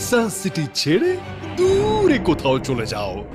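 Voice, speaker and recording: A man's voice: high-pitched, wildly swooping pitch, unhurried, full character voice. A man voicing a gloating, theatrical evil sorcerer in a cartoon, with a grandiose, menacing delivery. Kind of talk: cartoon voice acting. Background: music.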